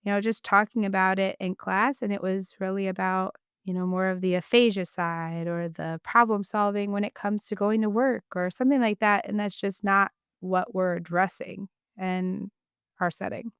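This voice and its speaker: A woman's voice: mid-range voice, steady tone, normal speed, smooth, dry recording, clean studio sound. A young adult woman co-hosting a casual podcast, sounding congested from a cold, enthusiastic and rambling. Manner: enthusiastic, rambling, self-correcting. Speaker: podcast co-host, speech-language pathologist. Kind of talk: casual chat.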